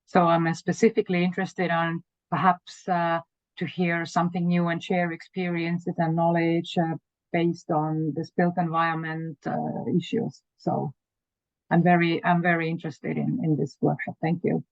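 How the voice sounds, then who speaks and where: A woman's voice: lowish voice, level tone, normal speed, gravelly, no room sound. Hesitant woman on a muffled call. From Estonia. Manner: hesitant, many fillers. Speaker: senior lecturer and researcher. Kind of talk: webinar self-introduction. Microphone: video call. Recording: dull, dry video-call audio.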